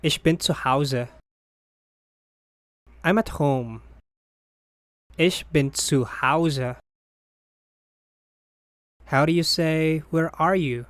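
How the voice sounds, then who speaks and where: A teacher reading short phrases for learners, saying each one deliberately and repeating it. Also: mid-range voice, no room sound, clean studio sound. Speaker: language teacher.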